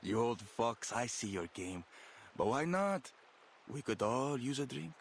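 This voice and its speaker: An actor playing a gruff, suspicious gang member in a video game, rough and sardonic in manner. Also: male voice, mid-range voice, sing-song, unhurried, a little hiss or hum. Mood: grudgingly jovial. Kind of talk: video game character acting.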